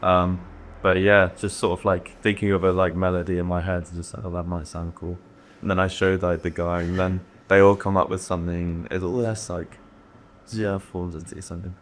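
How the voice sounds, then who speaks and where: Very deep, even-voiced young man. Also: normal speed. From Hong Kong. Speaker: band guitarist. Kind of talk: casual interview chat. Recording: ambient room noise behind.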